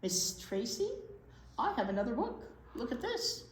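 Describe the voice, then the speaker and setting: A woman's voice: a little high, very animated, unhurried. Woman reading aloud in an echoey room. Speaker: library storytime teacher. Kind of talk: read-aloud picture book with casual asides. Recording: echoey room with faint noise.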